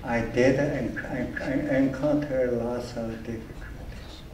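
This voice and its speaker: An older man with a heavy Chinese accent, speaking in halting, broken English as an award honoree, repeating words as he goes. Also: medium pitch, steady tone, a little mellow. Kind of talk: speech with audience Q&A. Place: event hall. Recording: echoey room from far off, faint noise.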